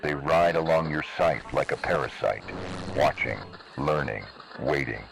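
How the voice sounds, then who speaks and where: A man voicing a cold, menacing emergency broadcast announcer in slow, measured, ominous narration. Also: a bass voice, vocal fry, fairly bright tone, full character voice. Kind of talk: scripted analog horror narration. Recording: noisy analog video recording.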